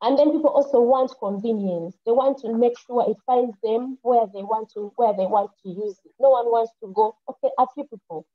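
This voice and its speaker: A woman's voice: fairly high pitch, fairly quick, hardly any pauses. A young woman with a Ugandan English accent, an engineer and farmer, telling her story earnestly on an online panel, often restarting her sentences. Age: young adult. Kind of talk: casual personal account on an online panel. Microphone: computer mic on a video call. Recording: muffled lo-fi mic.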